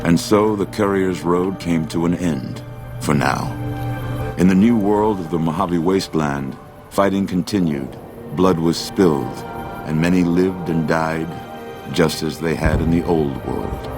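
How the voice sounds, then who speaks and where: A man playing a solemn, world-weary narrator of a post-war saga in a video game, speaking in a grave, measured way. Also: low voice, music in the background. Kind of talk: scripted game ending narration.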